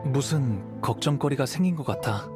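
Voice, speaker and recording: A man playing a calm, thoughtful young wandering swordsman in a video game, soft, composed and gentle. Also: mid-range voice, normal speed, loud music behind the voice, close to an everyday voice. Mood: reflective.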